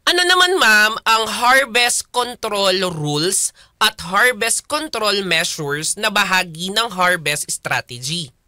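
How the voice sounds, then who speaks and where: A Filipino woman co-hosts a conversational radio interview. Her voice is bright and forward at a middling pitch, recorded very dry. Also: animated, slow pace, no room sound. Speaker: radio co-host.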